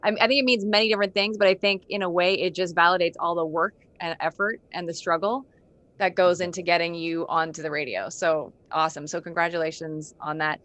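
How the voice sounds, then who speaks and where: A woman's voice: lowish voice, steady tone, a little fast, a bit bright, dull audio. A woman hosting a music interview in a conversational, encouraging way. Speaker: interview show host. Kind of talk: conversational radio-style interview.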